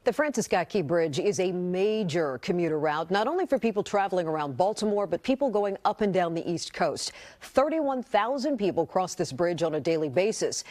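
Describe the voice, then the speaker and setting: A female anchor presents the television news. Her voice sits somewhat low for a woman. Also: animated, a little fast, few pauses, darkish tone. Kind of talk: television newscast.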